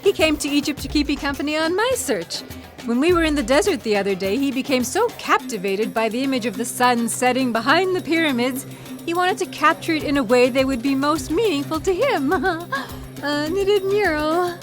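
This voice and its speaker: An actress playing an exasperated, teasing woman paleontologist in audio theatre, with an animated, complaining manner. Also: high-pitched, soft background music. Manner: animated, complaining, quick to laugh.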